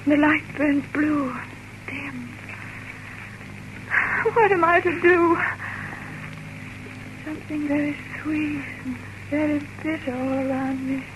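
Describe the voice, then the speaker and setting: A woman's voice: high voice, fairly even intonation, slow pace, long pauses. An actress playing a frail, haunted young woman with melodramatic, dreamy delivery in 1930s radio drama style. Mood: fearful, haunted. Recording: noisy 1930s radio recording.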